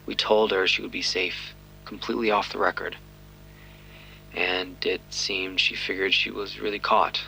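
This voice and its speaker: A man's voice: sits low, level tone, normal speed, vocal fry, pushed and bright, slight background noise, heavily acted, poor audio. An actor playing a world-weary male detective recounting his case in scripted first-person narration. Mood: somber.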